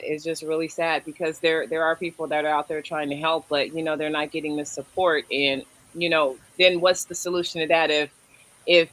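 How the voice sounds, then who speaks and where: A woman's voice: sits low, a bit bright, poor audio. A woman with a Brooklyn accent co-hosting a casual livestream chat, rambling and filling nearly every phrase with "you know". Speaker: livestream co-host.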